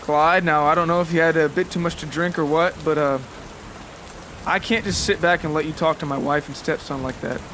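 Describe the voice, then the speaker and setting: A man voicing a calm, kindly, steadfast rural stepfather with a measured, folksy and reassuring manner. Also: on the high side, background noise, close to an everyday voice. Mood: resolute.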